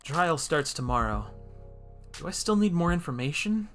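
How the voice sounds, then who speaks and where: A man's voice: a little high, very animated, normal speed, a bit bright, faint music behind, voice pushed up. A man voicing a wry, brooding defense attorney in a world-weary noir game monologue. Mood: pensive, calculating. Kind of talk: noir visual novel narration.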